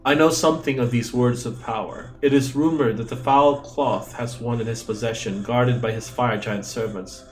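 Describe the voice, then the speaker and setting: A man's voice: mid-range voice, steady tone, moderate pace, few pauses, darkish tone, low-quality recording. A man whose first language is Cebuano, chatting and joking as he streams a game and reads its text aloud. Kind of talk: let's play commentary.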